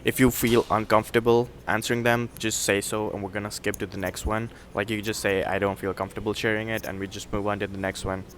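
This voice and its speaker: Young guy interviewer, lowish voice, breezy, runs down a question list, faint hiss. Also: flat delivery, fairly bright tone. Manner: quick, breezy, repeats "cool". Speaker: student interviewer. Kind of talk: casual survey interview.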